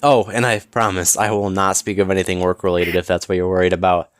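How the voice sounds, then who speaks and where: Man acting a smug flirtatious businessman, lowish voice. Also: level tone, quick pace, dry recording, natural voice. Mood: smooth, flirtatious. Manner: teasing, smooth-talking, mock-polite. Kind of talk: scripted audio roleplay acting.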